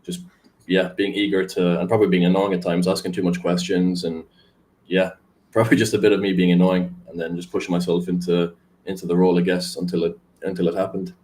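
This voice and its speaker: Young male guest, deep monotone, loose filler-heavy chat. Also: brisk. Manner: rambling, filler-heavy, laid-back. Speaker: guest, crypto community manager. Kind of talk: casual podcast chat.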